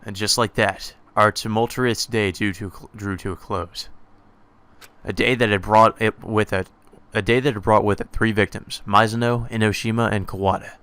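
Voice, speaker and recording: A man's voice: on the low side, steady tone, moderate pace, a bit bright, smooth, no echo. A man giving a dramatic, subdued reading of visual novel narration in a brooding manner. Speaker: let's play narrator.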